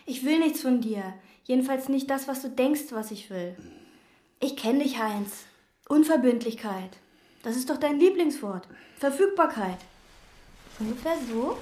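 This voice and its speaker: An actress playing a withdrawn, meticulous chambermaid who speaks precisely and detachedly, in radio drama and inner monologue. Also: female voice, high-pitched, lively intonation, measured pace, darkish tone. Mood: flat. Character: meticulous, withdrawn woman chambermaid obsessed with cleaning. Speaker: hotel chambermaid.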